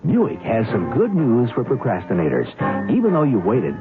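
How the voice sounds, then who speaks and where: Animated American ad announcer, tinny radio. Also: male voice, mid-range voice, moderate pace. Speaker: commercial announcer. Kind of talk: 1970s radio advertisement read. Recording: tinny radio advert with loud music.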